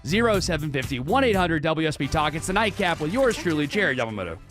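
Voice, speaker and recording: A man hosting a live talk radio show with a hoarse, failing voice, stopping often to clear his throat and restart his sentences. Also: a little high, big pitch swings, fast talker, over music. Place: radio studio. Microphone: studio microphone.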